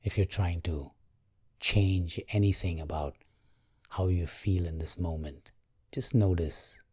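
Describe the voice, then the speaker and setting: A man guiding a meditation in a calm, gentle, measured way, leaving long pauses. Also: sits low, slightly rough, no echo, clean studio sound. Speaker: meditation guide. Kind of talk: guided meditation, slow and soothing.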